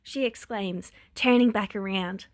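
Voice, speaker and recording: A woman narrating a written story with expressive, wry, exasperated emphasis. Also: medium pitch, measured pace, no room sound, clean studio sound. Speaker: podfic narrator reading fan fiction.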